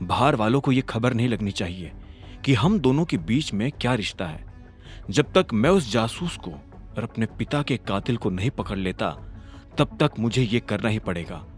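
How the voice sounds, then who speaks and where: Young male lead voiced by a narrator: brooding, resolute, suspicious, expressive pitch, music bed, audio series. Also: normal speed, lightly acted. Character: determined, suspicious young man hunting his father's killer.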